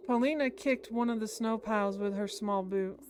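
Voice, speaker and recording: A woman's voice: mid-range voice, normal speed, slight background noise. A woman streamer reading visual novel dialogue aloud in an informal, chatty way with game music behind her. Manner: informal, breaks off to comment and talk with family.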